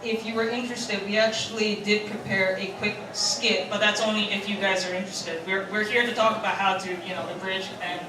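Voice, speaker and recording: A woman's voice: medium pitch, fairly even intonation, brisk, hardly any pauses. A woman speaking casually as a panelist to an audience in a convention room. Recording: very echoey, distant, noisy panel room.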